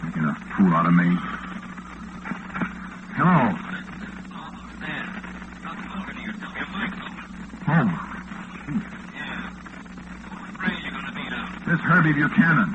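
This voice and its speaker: An actor playing a bewildered, harried late-night disc jockey in a flustered, exasperated 1940s radio drama style. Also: male voice, medium pitch, sing-song, moderate pace, natural voice. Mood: angry muttering, then uneasy. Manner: flustered, exasperated, muttering to himself. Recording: noisy lo-fi old radio recording.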